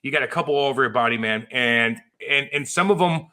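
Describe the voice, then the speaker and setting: A man's voice: mid-range voice, brisk, sharp tone. An American man from Missouri co-hosting a podcast, chatting casually in a blunt, profane, slangy way. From Missouri, USA. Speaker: podcast co-host. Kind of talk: casual bantering chat.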